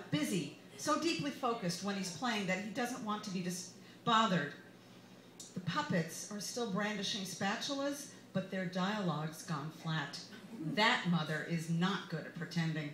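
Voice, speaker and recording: An American woman reads her memoir aloud at a live event in a bar. Her delivery is expressive and wry, at a middle pitch. The room is echoey, with some background noise. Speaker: author reading aloud.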